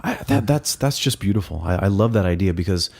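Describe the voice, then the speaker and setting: Deep-voiced, enthusiastic male host. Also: expressive, a little fast, gravelly, a little mellow. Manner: enthusiastic, stammering restarts. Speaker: podcast host. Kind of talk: casual interview chat.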